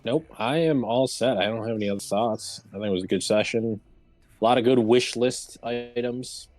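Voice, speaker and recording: A man's voice: medium pitch, moderate pace, a little mellow, soft background music. A man hosting a casual group chat on a livestream. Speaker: round table host.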